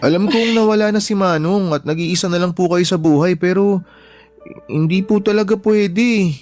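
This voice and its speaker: A man's voice: high-pitched, moderate pace, darkish tone, close to an everyday voice. A man playing a humble young shop worker in a radio drama, anxious and respectful, pleading politely in distress. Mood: apologetic. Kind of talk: Tagalog radio drama acting. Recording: background music under the voice.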